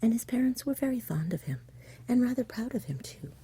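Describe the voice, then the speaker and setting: A woman giving a soft-spoken, breathy, whispered ASMR reading of a bedtime story in a gentle storybook manner. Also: a little high, very animated, brisk.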